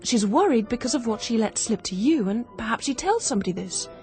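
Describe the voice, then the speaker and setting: An actress playing a keen, quick-witted young woman turned amateur sleuth in a radio drama, brisk, confident and persuasive. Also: fairly high pitch, expressive, darkish tone, soft background music. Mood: excited, intent.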